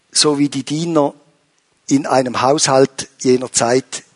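Man, pastor, sermon, husky, emphatic. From Switzerland. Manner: animated, rises in pitch and volume for emphasis.

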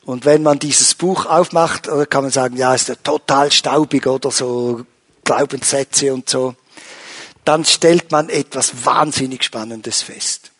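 A man preaching a sermon to his congregation, rising in pitch and volume when he stresses a point. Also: unhurried, breathy edge. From Switzerland. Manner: animated, rises in pitch and volume for emphasis. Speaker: pastor.

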